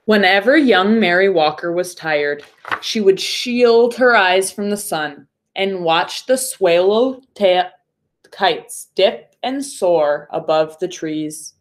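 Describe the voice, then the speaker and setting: A woman, a primary school teacher, speaking deliberately and instructively to young pupils as in a read-aloud storytime. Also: lowish voice. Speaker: third grade teacher.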